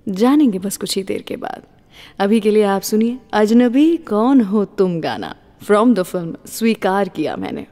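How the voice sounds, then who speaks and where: Woman radio host, mellow, heavily reverberant. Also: mid-range voice. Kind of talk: radio storytelling and song introductions. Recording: long reverberant hall echo.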